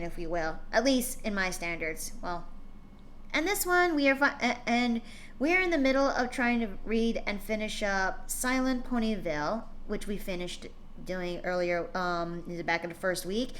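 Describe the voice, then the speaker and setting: A woman's voice: fairly high pitch, a bit bright, slight background noise. A woman hosting a live stream, chatting casually with lots of fillers and restarts before reading fan fiction aloud.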